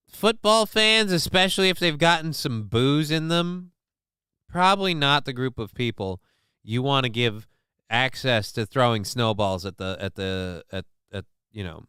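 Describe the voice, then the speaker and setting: Rambling, swearing live-stream host. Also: male voice, on the high side, bright, forceful tone, clear, resonant tone, dry recording, studio quality. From Minnesota. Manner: rambling, profane, lots of restarts and fillers. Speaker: show host reading live chat. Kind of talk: casual live-stream chat.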